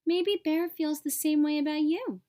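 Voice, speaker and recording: Woman reading as a kindly high-voiced owl schoolteacher. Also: normal speed, warm tone, lightly acted. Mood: gentle, reassuring. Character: kind, reassuring male owl schoolteacher.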